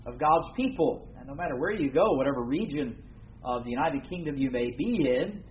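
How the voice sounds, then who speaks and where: A man from the United States preaching a sermon to an evening gathering, earnest and formal, pausing often with fillers. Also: medium pitch, lively intonation. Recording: dull lo-fi recording with faint room noise.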